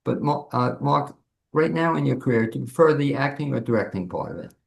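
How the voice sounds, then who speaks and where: A man's voice: medium pitch, animated, a little fast, vocal fry. A man hosting a conversational interview, heard with a roomy, echoing sound. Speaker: interviewer, host.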